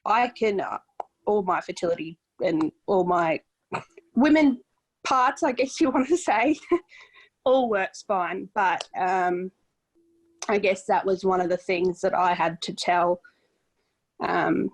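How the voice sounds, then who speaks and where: A woman in her late twenties talks candidly in a mid-range voice, rambling a little and filling pauses as she thinks. She sounds open and honest. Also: medium pitch, poor audio. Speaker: podcast co-host. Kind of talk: casual video-call chat.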